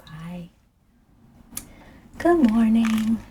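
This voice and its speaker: Slow, soft-spoken croaky woman. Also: on the high side, very animated, a little hiss or hum. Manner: rambling, soft and unhurried with fillers. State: croaky, frequently clearing throat. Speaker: ASMR tea reviewer. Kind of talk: soft-spoken ASMR tea tasting.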